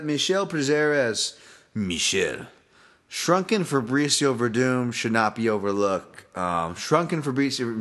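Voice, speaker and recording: A man's voice: lowish voice, fairly bright tone. A man with a Hawaiian accent hosting a casual solo podcast, talking in a rambling way with many restarts and casual swearing. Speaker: podcast host and fight analyst.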